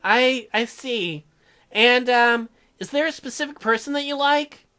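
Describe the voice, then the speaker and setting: A man voicing a confused, self-conscious teenage girl heroine, speaking haltingly in a visual novel. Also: very high voice, loud music behind the voice, pitched below the performer's own voice. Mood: uneasy.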